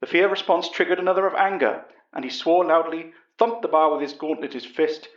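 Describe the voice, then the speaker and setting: A man giving scripted dramatic story narration in a deliberate, literary storytelling manner. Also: a little high. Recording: muffled, echoey room sound.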